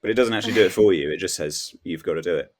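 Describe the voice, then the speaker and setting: A man's voice: low-pitched, rapid-fire. A man chatting casually as a guest on a comedy podcast, telling whimsical, rambling anecdotes and acting out little quotes. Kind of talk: casual comic chat.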